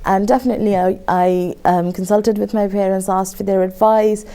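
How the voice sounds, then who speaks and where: Young woman guest chatting casually, mellow relaxed voice, normal pitch. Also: moderate pace, clear, resonant tone. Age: young adult. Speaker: panel guest. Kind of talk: casual conversational answers.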